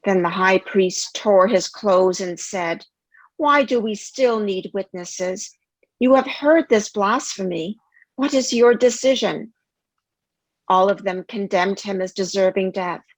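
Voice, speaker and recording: A woman's voice: mid-range voice, slow, no room sound, rough recording. A woman reading scripture aloud as a church member, in a scripted narrative style.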